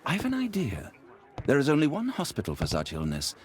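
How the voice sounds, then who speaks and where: An actor playing a helpful, learned doctor, measured and clinical, in a video game. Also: male voice, fairly high pitch, wildly swooping pitch. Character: helpful, learned male doctor, detective's companion. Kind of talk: video game cutscene acting.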